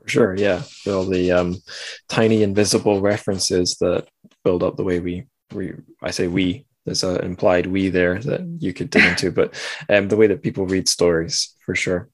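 A man hosting a casual podcast interview in a playful, halting way that often doubles back on words. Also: sits low, flat delivery, no echo. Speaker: podcast host.

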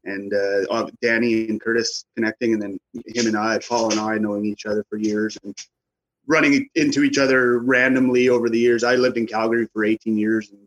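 A man's voice: fairly low pitch, steady tone, fairly quick, rough recording. A man, a band member chatting casually in an interview, rambling and easygoing. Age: 40s.

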